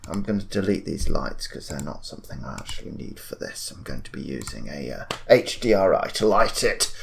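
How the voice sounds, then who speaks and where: A man narrating a software tutorial in a casual, informal way, thinking aloud as he demonstrates. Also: fairly low pitch, vocal fry. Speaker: tutorial presenter. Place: indoors at a computer, screen-recording voice-over.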